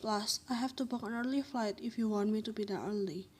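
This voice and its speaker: High-voiced, insistent mother played by a woman. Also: fairly even intonation, a little fast, a little hiss or hum, close to an everyday voice. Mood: defensive. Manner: reasoning, insistent. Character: weary, practical mother and wife, defensive. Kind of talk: amateur radio drama acting.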